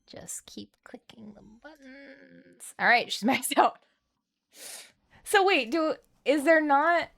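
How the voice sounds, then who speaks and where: A woman's voice: high voice, expressive, slow, gravelly, a bit bright. A woman livestreaming a game, thinking aloud in a casual, playful way. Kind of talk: casual gameplay commentary.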